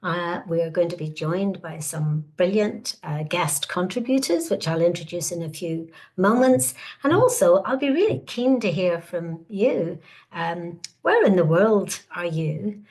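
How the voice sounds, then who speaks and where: A woman's voice: lowish voice, expressive, a little slow, darkish tone. A woman in her sixties from Northern Ireland with a Northern Irish accent, hosting an online webinar in a hesitant, welcoming way full of fillers. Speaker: webinar host.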